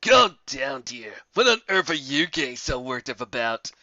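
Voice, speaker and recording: A woman voicing a boastful, bullying male griffin commander in a fantasy story, booming, pompous and mocking. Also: sing-song, moderate pace, sharp tone, no room sound, dull audio, exaggerated voice. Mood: unruffled.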